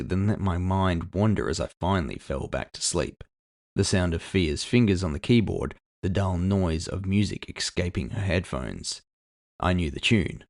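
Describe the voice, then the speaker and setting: Low, gravelly male narrator, close and dry. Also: darkish tone, no echo. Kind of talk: scripted first-person fiction narration.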